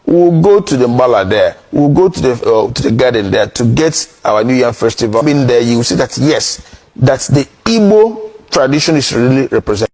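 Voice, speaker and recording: A man, a community leader, explaining plainly with small pauses. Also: medium pitch, animated, a little mellow, poor audio.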